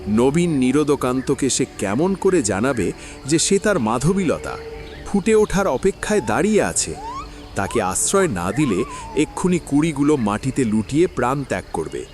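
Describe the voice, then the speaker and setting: Expressive male drama narrator. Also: mid-range voice, moderate pace, faint music behind. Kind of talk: scripted storytelling narration.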